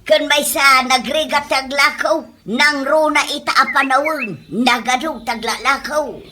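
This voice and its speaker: Woman acting high-voiced bossy market mother. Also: steady tone, measured pace, bright, forceful tone, slight background noise. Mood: grumbling. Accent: Filipino. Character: middle-aged mother, brisk, bossy market fish vendor. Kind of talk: comedy radio drama acting.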